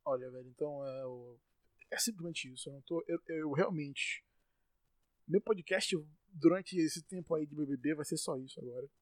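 A Brazilian man hosting his own podcast, ranting in exasperation, with sudden loud outbursts and stumbling, repeated words when he gets worked up. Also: on the high side, normal speed, no echo, poor audio. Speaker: podcast host. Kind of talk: solo podcast rant.

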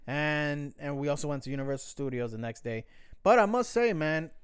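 A man's voice: on the high side, fairly quick, clean tone, dry recording, studio quality. A man in his thirties from New York co-hosting a casual podcast, talking loosely and swearing as he tells stories. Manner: swearing, loose storytelling with impressions. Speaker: podcast co-host. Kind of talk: casual chat.

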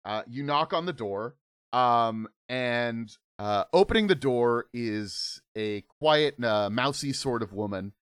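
A hoarse man narrating a tabletop horror game as game master, in a relaxed, conversational way. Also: medium pitch, slow, dry recording, studio quality. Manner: relaxed, conversational, filler-heavy.

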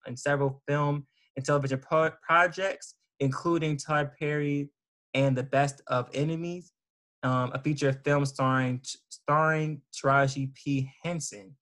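A man's voice: fairly high pitch, fairly bright tone. A man hosting an interview, reading out his guest's introduction with frequent ums and restarts. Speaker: host.

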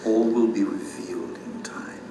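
An actor playing a calm, grave sage and teacher in an audio drama, guiding with measured authority. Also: male voice, fairly low pitch, far from the mic, noisy background, lightly acted. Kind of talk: allegorical audio drama acting. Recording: echoey hall, music behind, off mic.

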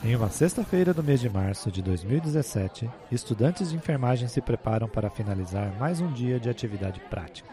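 A man's voice: mid-range voice, animated, fairly quick, hardly any pauses, warm tone. A man with a Brazilian accent, hosting a podcast and narrating a scripted story as a nursing professor. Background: music.

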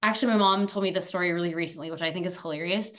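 A woman's voice: lowish voice, rapid-fire, fairly bright tone. A young woman raised in France and then Arizona, chatting as a podcast guest in a talkative, upbeat and goofy way. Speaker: guest, alumna. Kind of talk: casual interview chat.

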